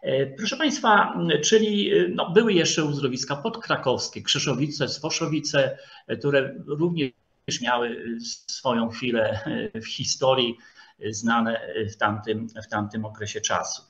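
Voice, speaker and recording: A dull, lo-fi video call recording carries a man lecturing at a middling pitch. He speaks formally and politely, addressing his listeners directly. His delivery is halting, with many hesitation sounds and pauses while he looks for the next word. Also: fairly bright tone. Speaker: lecturer, historian. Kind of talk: online lecture with slides.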